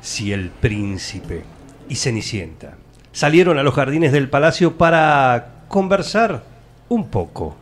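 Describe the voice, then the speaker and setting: A man's voice: medium pitch, sing-song, slow, a little hiss or hum. A man with an Argentine accent narrating a storybook parody as part of a comic radio theatre show. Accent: Argentine, Rioplatense. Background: music.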